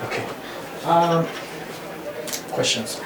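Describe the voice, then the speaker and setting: A man working as an interviewer, asking halting, informal questions. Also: noisy background. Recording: echoey room with crowd chatter. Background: crowd murmur.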